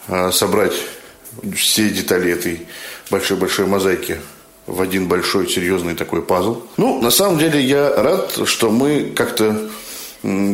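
A man's voice: deep voice, fairly bright tone. A man talking informally and reflectively as an amateur actor, in a roomy recording. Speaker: amateur actor and chat group administrator. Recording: echoey room.

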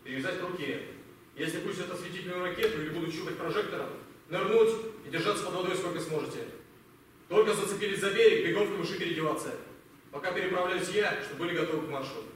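A man playing a commanding, protective army captain who gives clipped, brisk orders in an amateur war radio play. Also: high-pitched, natural voice. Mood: stern, businesslike. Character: commanding male army captain leading young women scouts. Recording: echoey far-off stage recording, noisy.

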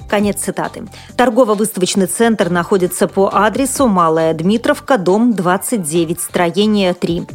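A woman's voice: mid-range voice, darkish tone. Formal woman news presenter over music. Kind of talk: scripted news reading.

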